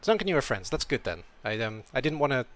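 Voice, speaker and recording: A young British man from Wales with a slight European tilt to his accent, chatting casually while he streams a game, reading chat and thinking out loud. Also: mid-range voice, big pitch swings, fast. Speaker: streamer playing a video game. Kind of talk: casual live-stream commentary.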